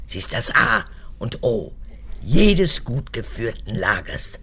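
Husky, creaking old tyrant mother. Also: wildly swooping pitch, slow pace, a bit bright, heavily acted. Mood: hard, boastful. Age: older. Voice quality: husky, gravelly, low. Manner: theatrical, swinging between whispers and outbursts. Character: old unrepentant female camp guard, capricious, manipulative mother. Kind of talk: radio drama acting.

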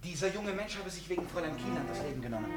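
An actor in a German radio drama playing an erratic, boastful, needling man who swings between confiding and agitation. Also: a little high. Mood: needling, tipsy.

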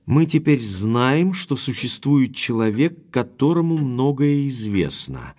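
A young gentleman in an audio drama, with a mid-pitched voice and animated intonation. He is direct and inquisitive, courteous but used to giving orders. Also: takes it slowly. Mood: earnest. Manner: questioning, courteous, authoritative.

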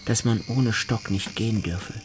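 A man's voice: on the low side, measured pace, a little hiss or hum, lightly acted. An actor playing a fearful, solitary, evasive man in a literary radio drama. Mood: uneasy, musing. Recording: music behind the voice.

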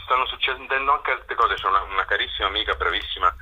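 A man's voice: fairly low pitch, fast talker, pushed and bright, slightly rough. A man giving reflective, hesitant answers in a casual interview, searching for words as he goes. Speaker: translator being interviewed. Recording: dull phone call, lo-fi radio sound.

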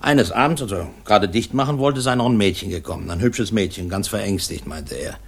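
An actor playing a plain-spoken, chatty uncle who talks in a colloquial, anecdotal way in a crime radio drama. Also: male voice, on the low side, fairly quick, gravelly, breathy edge. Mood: intrigued recounting.

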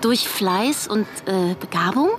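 An actress playing a spirited young tech graduate in a radio play, colloquial and quick to protest. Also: female voice, medium pitch, expressive, noisy background. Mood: flustered, defensive. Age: young adult.

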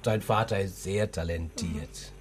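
An actor playing a kindly, old-fashioned older grandfather in a children's radio drama, with a measured, wry, murmuring manner. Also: low-pitched. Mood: kindly, distracted.

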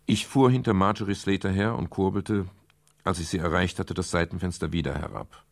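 Gravelly, low-voiced wry detective. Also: male voice, steady tone, a little fast, no room sound. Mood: matter-of-fact. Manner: ornate, digressive, dryly ironic, courteous. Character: urbane, wry British private detective narrating his case. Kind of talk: scripted 1970s radio crime drama narration.

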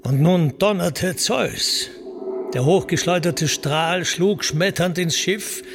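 A man's voice: sits high, slow pace, noisy background. A man reciting a classical epic in verse for a radio play, solemn and declamatory, as its narrator. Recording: radio production with loud music underneath. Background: loud music.

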